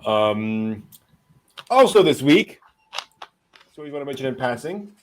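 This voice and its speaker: A man's voice: mid-range voice, big pitch swings, measured pace, slight background noise. Casual, conversational man hosting news. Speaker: host presenting anime news. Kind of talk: casual news rundown with co-hosts.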